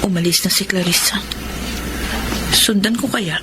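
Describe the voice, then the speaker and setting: A woman acting a suspicious grown child secretly following someone in a radio drama, narrating in a hushed, scheming monologue. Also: mid-range voice, measured pace, very noisy, lightly acted. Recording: radio drama under very loud music.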